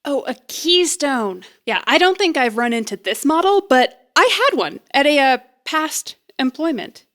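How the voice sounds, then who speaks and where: A woman's voice: high-pitched, animated, measured pace, fairly bright tone. A woman playing a nervous, jokey young woman who rambles and puts herself down as she bluffs through an interview in an audio drama. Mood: bluffing. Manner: rambling, self-deprecating, flustered. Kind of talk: audio drama acting, conversational comedy.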